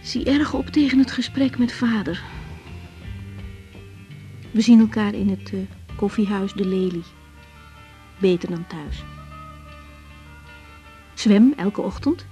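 An actress playing a young woman doctor in a naturalistic radio drama, frank and reflective, speaking in a measured, intimate, sometimes hesitant way. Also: medium pitch, mellow, faint music behind, lightly acted. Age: young adult. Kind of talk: naturalistic Dutch radio drama acting with diary monologue.

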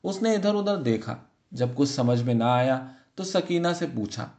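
Male audiobook narrator for literary short fiction. Middle register, measured and expressive storytelling delivery. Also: medium pitch.

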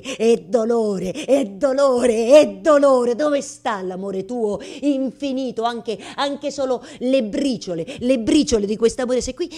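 An actress playing an anguished, fearful woman who argues with God in a halting, repetitive theatrical monologue. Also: on the high side, a little mellow. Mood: desperate protest. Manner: halting repetitions, pleading, swings from murmurs to outcries.

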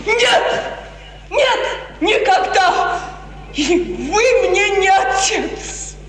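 A very high-pitched, anguished young daughter, proud and defiant, in a slow, theatrical radio-tragedy style. She comes through an echoey, noisy 1950s recording. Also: big pitch swings, a bit bright, full character voice. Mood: desperate, defiant. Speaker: actress.

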